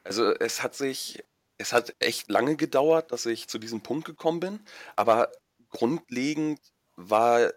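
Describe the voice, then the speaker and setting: A man being interviewed over a remote call, answering casually in halting sentences that often restart. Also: mid-range voice, dry recording, clean studio sound. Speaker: interview guest, wrestler.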